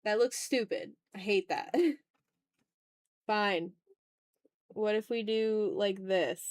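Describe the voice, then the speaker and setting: A woman's voice: medium pitch, slow, dry recording. A woman chatting casually as she draws on a livestream, teasing her viewers with playful mock exasperation. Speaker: art streamer. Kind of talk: casual livestream chat while drawing.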